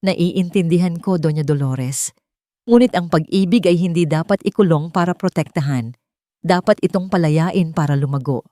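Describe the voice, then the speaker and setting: A woman's voice: on the low side, moderate pace, mellow, dry recording, clean studio sound, lightly acted. A woman with a Filipino accent voicing a sincere, serious millionaire father in love in an audio storybook. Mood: compassionate. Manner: earnest, serious.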